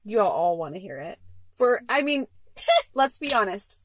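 A woman in her thirties with a deep, raspy voice, co-hosting a casual podcast from a parked minivan, giggly and joking. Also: very animated, slight background noise. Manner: giggly, joking, quick asides. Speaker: podcast co-host. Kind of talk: casual chat.

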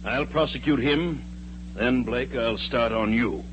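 An actor playing a stern, unforgiving district attorney father in 1940s radio drama, forceful and threatening. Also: mid-range voice, a little slow, lightly acted. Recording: dull vintage radio recording with music.

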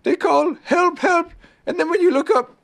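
A reader voicing a high-pitched, earnest little bear. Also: normal speed, creaky, fairly bright tone, full character voice. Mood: interested, earnest. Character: placid, naive little bear, wondering and knowing.

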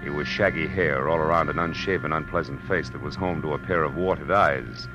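An actor with an American accent playing a tough, wisecracking private detective in a 1940s radio drama, wry and clipped. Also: male voice, rumbling low, brisk, dull audio, music in the background. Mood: hard-boiled, wry. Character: hard-boiled, wisecracking male Los Angeles private detective. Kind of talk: 1940s radio acting, noir narration. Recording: old radio broadcast recording.

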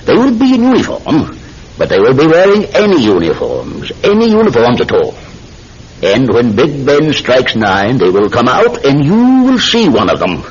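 An actor playing a sinister, controlled hypnotist posing as a polite tobacconist, with deliberate, theatrical delivery in an old-time radio drama. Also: male voice, medium pitch, wildly swooping pitch, slightly rough. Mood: commanding. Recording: muffled, hissy old radio recording.

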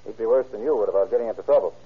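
Authoritative male police chief, clipped and commanding, rough-edged, narrow tinny vintage radio sound. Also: lowish voice, very fast. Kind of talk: old-time radio crime drama acting. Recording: tinny old radio recording.

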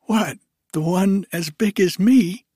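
A man voicing a young street boy who gives bright, wondering replies in a raised voice. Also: high-pitched, slow pace, no echo, clean studio sound, pitched above the performer's own voice.

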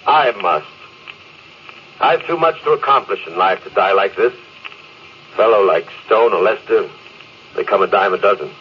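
A deep-voiced man acting as a proud, stoic career space officer in a vintage radio play. He speaks with self-important command. The old broadcast is muffled, with a faint hiss underneath. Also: lively intonation, normal speed, long pauses, lightly acted. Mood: proud, insistent.

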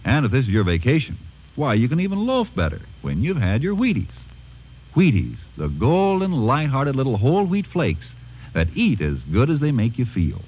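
A man's voice: medium pitch, huge pitch swings, normal speed, slightly rough. An American man reading a classic radio advertisement in a folksy, chatty sales manner. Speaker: announcer and pitchman. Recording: dull vintage radio recording.

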